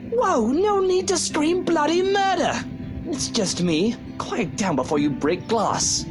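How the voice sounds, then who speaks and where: A woman voicing a cocky, teasing, sceptical mansion heir in a visual novel, sardonic and animated. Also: high-pitched, takes it slowly, music in the background, full character voice. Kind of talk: visual novel character acting.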